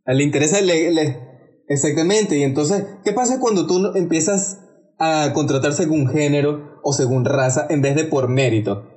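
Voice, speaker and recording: A man's voice: fairly high pitch, normal speed, a little mellow. A young man from Venezuela with a Venezuelan Spanish accent, co-hosting a casual podcast debate and often stumbling over words. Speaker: podcast co-host.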